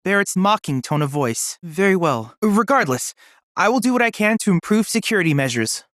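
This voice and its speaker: An actor voicing an earnest, excitable young android boy researcher in a video game, with precise, formal, polite diction. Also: mid-range voice, normal speed, slight background noise. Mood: clipped, analytical. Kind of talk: video game character voice lines.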